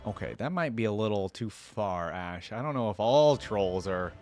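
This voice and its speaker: A man's voice: lowish voice, animated, moderate pace. A man hosting a game reaction channel, chatting casually and enthusiastically as he comments. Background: faint music.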